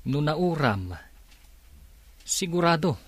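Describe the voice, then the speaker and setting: A man's voice: fairly high pitch, big pitch swings, slow pace, slight background noise. A man playing a blunt, nosy young male buddy in a radio drama, with a casual manner. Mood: curious, blunt.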